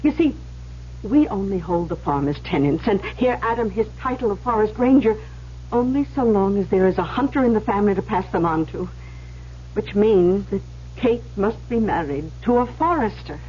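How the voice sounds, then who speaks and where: A middle-aged mother acted by a woman speaks in a medium-pitched, fretful but soothing way. The theatrical radio drama sounds dull, with faint hiss behind her. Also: lively intonation, moderate pace, warm tone, natural voice. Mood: anxious. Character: anxious, conciliatory mother and farm wife. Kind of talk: old-time radio drama acting. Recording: muffled, faintly noisy vintage radio recording.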